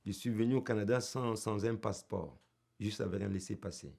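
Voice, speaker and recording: Middle-aged musician, husky, gravelly voice; earnest, heartfelt documentary interview. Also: male voice, on the low side, a little fast, a little mellow. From Cameroon. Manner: earnest, heartfelt, with small restarts.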